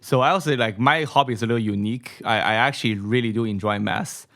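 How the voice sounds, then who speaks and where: A man's voice: medium pitch, quick pace, fairly bright tone. Chinese-accented man asking "right?" a lot. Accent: Mandarin Chinese. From China. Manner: tags sentences with "right?", many repeats. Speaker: venture capital guest. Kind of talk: casual podcast interview. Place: small studio room, brick wall. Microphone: studio mic on boom, headphones.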